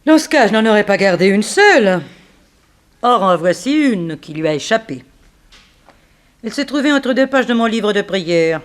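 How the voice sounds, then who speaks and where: An actress plays a dignified woman defending herself in an old 1960s radio mystery. Her mid-pitched voice stays formal and self-possessed, reasoning coolly and with pride. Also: lively intonation, natural voice. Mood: suspicious, reasoning. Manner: formal, measured, self-controlled. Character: composed, proud female companion under suspicion, coolly reasoning. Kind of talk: 1960s French radio drama acting.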